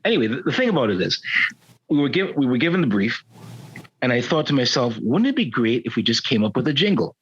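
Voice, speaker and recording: A man in his fifties, co-hosting a casual podcast, animated and playfully mock-indignant. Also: mid-range voice, fast talker, husky, muffled recording. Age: 50s. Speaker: podcast co-host. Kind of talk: casual chat. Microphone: remote call.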